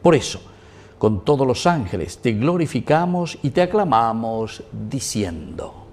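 A middle-aged man from Paraguay, a priest saying the prayers of Mass in a measured, solemn way in a small chapel. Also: mid-range voice, big pitch swings.

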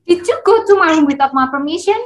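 A woman's voice: extremely high pitch, animated, fast. A young woman playing a spoiled, accusing young stepsister in an amateur readers theater, nagging and indignant. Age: young adult.

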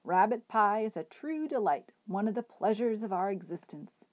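Brief: woman doing a kindly enormous tramp, formal phrasing, slow and warm-hearted, storybook reading. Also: high voice, expressive. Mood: genial. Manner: courtly, gently formal, unhurried. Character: enormous bearded genial male hobo.

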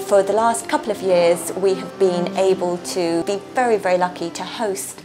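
A woman's voice: on the low side, steady tone, moderate pace, rough recording. A woman, co-founder of a brewery, talking enthusiastically about the business in a chatty voice-over interview. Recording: music playing under voice.

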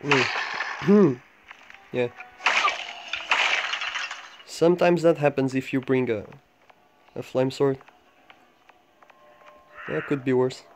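A man giving low-key, casual gameplay commentary, thinking aloud in short fragments as he guides viewers. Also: drawn-out words, pauses often. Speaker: gamer making a walkthrough guide. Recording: clearly noisy home recording with clicks.

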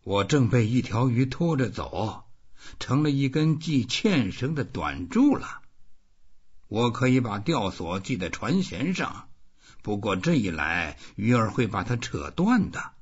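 A man voicing a weary, determined old fisherman who thinks aloud in slow, brooding storybook self-talk. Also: medium pitch, sing-song, husky, lo-fi sound. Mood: determined, troubled.

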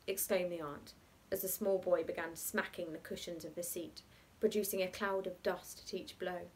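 A young adult woman reads a literary short story aloud in a measured, articulate, expressive way. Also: fairly low pitch, low-quality recording.

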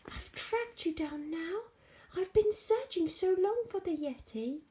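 A woman voicing an eager, excitable young boy adventurer from a children's rhyming story. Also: high-pitched, unhurried, voice pushed up. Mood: excited. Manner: exclaiming, polite when apologising.

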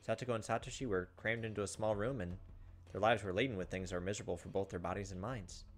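A man in his thirties reads a visual novel aloud. His voice is fairly low and he moves along briskly, with faint music behind him. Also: fairly even intonation. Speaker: gaming video host. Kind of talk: reading visual novel text aloud with casual asides. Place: indoors, recording at a computer. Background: faint game music.

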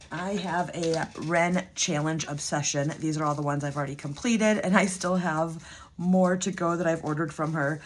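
A woman's voice: low voice, slight background noise. A woman in her thirties chatting at home in a budgeting vlog, losing her voice, rambling with ums and restarts. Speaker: budgeting vlogger. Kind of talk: casual chat to camera.

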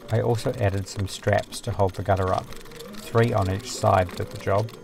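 Male DIY vlog narrator, steady explainer, low gravelly voice. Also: moderate pace, slight background noise. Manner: calm, matter-of-fact explaining. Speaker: sailing vlogger. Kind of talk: scripted voice-over narration.

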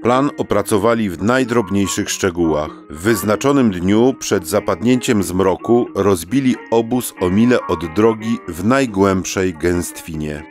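Low-voiced male storyteller over guitar music. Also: measured pace, few pauses. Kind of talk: scripted storybook narration. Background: faint guitar music.

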